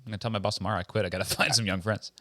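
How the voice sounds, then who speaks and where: A man's voice: fairly low pitch, fairly even intonation, rapid-fire, bright, forceful tone, no room sound, studio quality. A young man co-hosting a podcast, casual chat with wry teasing banter. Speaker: podcast co-host. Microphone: podcast microphone.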